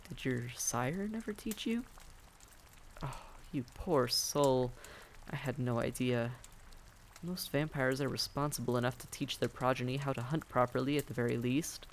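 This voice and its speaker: A man playing a friendly, patient vampire innkeeper in a roleplay audio drama, giving gentle, folksy, reassuring advice straight to the listener. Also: mid-range voice, slight background noise, close to an everyday voice. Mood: sympathetic, concerned. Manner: gentle, folksy, politely advising.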